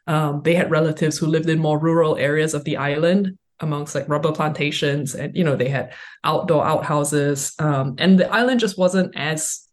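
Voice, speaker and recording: A woman's voice: low voice, steady tone, moderate pace. A woman from Singapore speaking as a guest author, explaining things conversationally in an interview.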